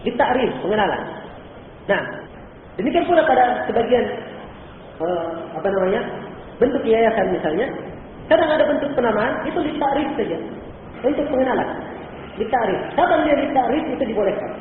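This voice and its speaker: A man's voice: extremely high pitch, animated, fairly quick, long pauses. A male Islamic teacher from Indonesia giving a religious lecture, explaining patiently, repeating key points and asking rhetorical questions. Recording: old echoey hall recording from far off, phone-like and faintly noisy.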